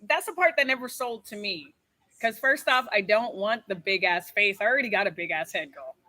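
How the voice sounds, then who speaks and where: A young woman personal trainer talks bluntly and with lots of animation, swearing freely and laughing easily. Her voice sits a little high and comes across bright and forceful. The sound is dry and close. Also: dry recording. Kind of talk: casual chat, coaching a workout.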